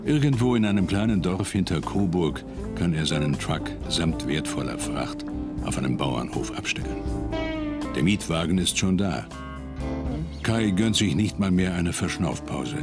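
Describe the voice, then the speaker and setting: Deep-voiced male documentary narrator. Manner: calm, measured voice-over. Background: music.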